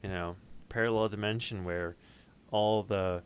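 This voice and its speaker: A man's voice: fairly low pitch, steady tone, a little slow, smooth. A man hosting an interview in a casual, conversational style. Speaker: host. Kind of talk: casual chat.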